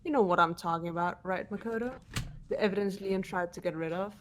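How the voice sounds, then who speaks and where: A woman's voice: lowish voice, brisk, natural voice. A woman voicing a composed, incisive female student who explains evidence methodically in an amateur game dub. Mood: accusing, calm. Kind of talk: amateur voice acting in a visual novel playthrough.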